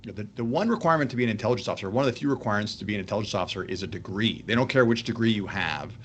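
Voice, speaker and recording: A male host from Canada speaks with a low voice, measured and deliberate. He opens his points with "look" as he weighs in on the panel chat. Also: a bit bright, a little hiss or hum. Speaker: host, former intelligence officer. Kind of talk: casual panel discussion.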